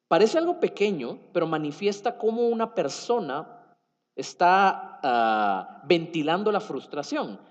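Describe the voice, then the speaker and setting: A man's voice: high voice, darkish tone. A man with a Guatemalan Spanish accent giving a tech conference talk, explaining with fillers and self-corrections. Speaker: conference speaker. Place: conference hall. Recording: dull sound in a reverberant hall.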